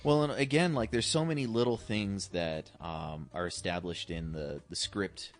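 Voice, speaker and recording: A man's voice: deep voice, moderate pace, faint music behind. A man co-hosting a podcast, explaining things casually and a little hesitantly, often repeating his words as he goes. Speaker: podcast co-host. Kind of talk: casual movie discussion.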